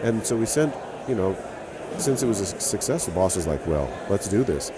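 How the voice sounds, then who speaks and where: A man's voice: on the low side, sing-song, a little fast, very noisy. A man with a Hawaiian accent, a brewer and author telling stories as a guest in a casual interview.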